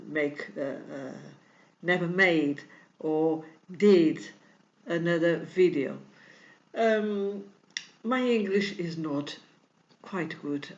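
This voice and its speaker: A woman with a Portuguese accent talks through a craft tutorial very slowly, halting often with fillers as she hunts for words in a language that is not her first. The recording is dull and lo-fi. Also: fairly low pitch. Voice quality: many pauses and fillers, searching for words. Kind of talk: hesitant tutorial explanation in a second language.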